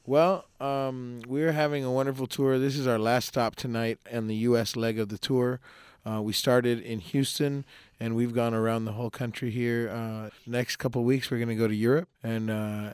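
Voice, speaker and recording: A man's voice: mid-range voice, flat delivery, moderate pace, darkish tone, smooth, no room sound. A Colombian-raised man, a musician guest in a radio studio, talking loosely with many fillers and restarts, his English a mix of American accents. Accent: mixed American English. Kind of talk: radio interview.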